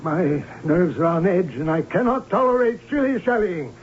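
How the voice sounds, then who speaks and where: An actor playing an imperious, nervy middle-aged baron in an old radio drama, formal, pompous and theatrical. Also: male voice, high voice, very animated, normal speed. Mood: impatient. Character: imperious, nervy baron, father of a grown daughter. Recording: dull vintage radio recording, faint noise.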